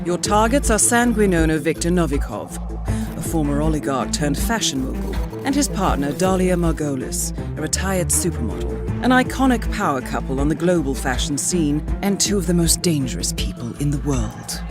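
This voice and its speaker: An actress playing a composed, shrewd intelligence handler in a game cutscene, speaking in a measured, formal and precise way over dramatic music. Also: female voice, low-pitched. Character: composed, shrewd female spy agency handler. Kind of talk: scripted game cutscene briefing.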